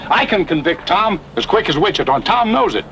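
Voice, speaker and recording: A man's voice: sits high, sing-song, brisk, fairly bright tone, full character voice. A man playing a hard, stubborn lawman in an old-time radio western, curt and unyielding. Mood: hard, impatient. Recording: dull, faintly noisy old radio recording.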